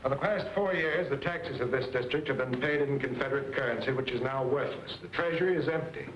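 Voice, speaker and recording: An actor playing a stern, principled American army commander in a western, speaking in a formal, measured, authoritative way. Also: male voice, mid-range voice, close to an everyday voice. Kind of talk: 1940s western film acting. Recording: dull, noisy old film soundtrack.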